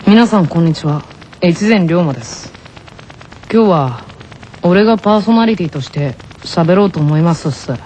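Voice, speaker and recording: A woman voicing a gentle, teasing teenage tennis boy in an anime radio skit, speaking with playful deliberation. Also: lowish voice, animated, normal speed, slight background noise, voice pushed down, lightly acted. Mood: playful mimicry.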